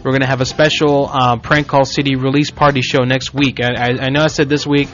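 A man's voice: mid-range voice, level tone, fairly quick, a bit bright. A man from Puerto Rico with a Puerto Rican accent, hosting a casual internet radio show, rambling and joking with frequent restarts. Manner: rambling, self-correcting, joking. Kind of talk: casual talk radio chat. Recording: dull lo-fi stream audio.

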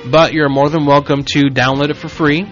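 A man's voice: on the high side, level tone, brisk, a bit bright, faint music behind. A man from Puerto Rico with a Puerto Rican accent, hosting a casual internet radio show, rambling and joking with frequent restarts. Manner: rambling, self-correcting, joking. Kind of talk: casual talk radio chat. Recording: dull lo-fi stream audio.

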